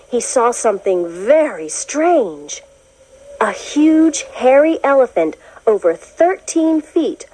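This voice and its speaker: A woman reading a children's science book as scripted narration, in a clear, expressive storytelling manner. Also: on the high side, rough recording. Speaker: narrator of a children's educational read-along.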